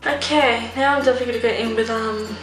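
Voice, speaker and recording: A woman's voice: a little high, steady tone, fast talker. A young woman, a little nasal from a cold, chatting casually through a makeup tutorial with lots of ums. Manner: chatty, rambling, many ums. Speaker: beauty vlogger. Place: room at home. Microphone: phone camera. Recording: roomy home recording with music. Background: soft background music.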